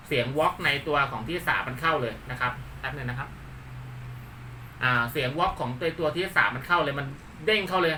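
A man's voice: a little high, brisk, sharp tone. A man from Thailand giving a casual hands-on demonstration as a channel host, talking informally and repeating himself with frequent polite particles. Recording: distant mic with faint background noise.

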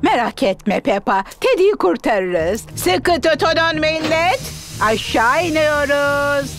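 Very shrill, excitable cartoon rabbit. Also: female voice, slow, soft background music, full character voice. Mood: reassuring, urgent. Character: excitable adult female rabbit, a balloon pilot. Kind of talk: dubbed preschool cartoon acting.

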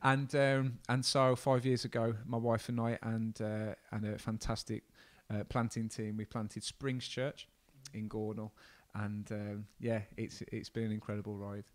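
A man's voice: lowish voice, level tone, unhurried, hardly any pauses. A man in his thirties, a pastor hosting a conversation, talking casually with encouraging interjections, on a handheld mic in a small room. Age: 30s. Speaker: pastor, host.

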